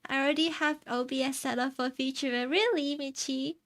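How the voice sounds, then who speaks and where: A young woman from China chatting casually on stream, answering her chat in a soft, rambling way and laughing often. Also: high-pitched, fairly even intonation, moderate pace, dry recording. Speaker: VTuber streamer. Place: home streaming setup.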